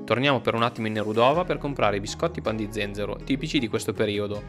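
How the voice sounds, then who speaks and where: A man's voice: lowish voice, fast talker. A man narrating a travel vlog from a script. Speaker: travel vlogger. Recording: music under the voice. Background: background music.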